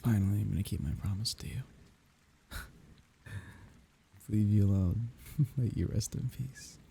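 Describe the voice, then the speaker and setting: A man playing a grieving lover at a last goodbye in an intimate audio roleplay, speaking in a husky, gravelly murmur with a halting, wry tenderness. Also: lowish voice, warm tone, slight background noise. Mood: wistful, wry. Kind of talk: intimate ASMR roleplay acting.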